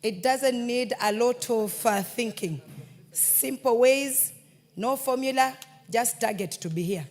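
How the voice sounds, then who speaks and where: A woman's voice: on the high side, takes it slowly, a little mellow, faint music behind. A woman, a member of parliament, welcoming students in a playful, teasing way. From Kenya. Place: parliament chamber. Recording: long reverb of a large chamber.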